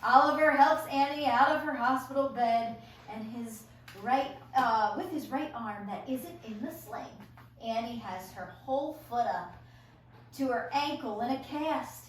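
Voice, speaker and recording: An encouraging, enthusiastic woman leading a group and reading out stage directions at a table read. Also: fairly high pitch. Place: echoey room, recorded from afar. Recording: roomy echo, distant mic, faint noise.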